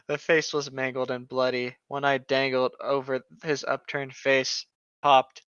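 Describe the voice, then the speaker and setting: Bright lively guy on a comedy podcast, mocking and sweary, bit of a muffled lofi mic. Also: mid-range voice, slow, smooth, no echo. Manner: profane, mocking, exclamatory. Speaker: podcast co-host. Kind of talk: casual comedic commentary on read-aloud horror stories.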